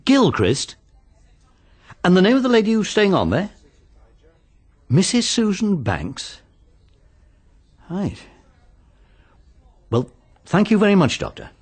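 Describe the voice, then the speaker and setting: An actor playing a methodical, polite police inspector in a British radio mystery, with a businesslike manner. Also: male voice, sits high, huge pitch swings, breathy edge, full character voice. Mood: attentive, official. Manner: businesslike, deliberate.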